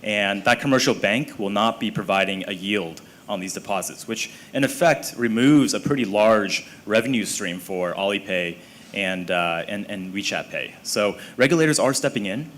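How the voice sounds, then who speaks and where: A man with a fairly low voice lays things out step by step as a panelist. His delivery is calm and measured, and a faint background noise runs underneath. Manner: measured, explanatory. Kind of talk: panel discussion.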